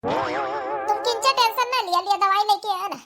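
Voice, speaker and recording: A woman's voice: very high-pitched, moderate pace, pushed and bright, faint music behind. A woman voicing a devoted, fussing village wife, chatty and reassuring, with an Awadhi accent in a comedy cartoon. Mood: concerned, reassuring.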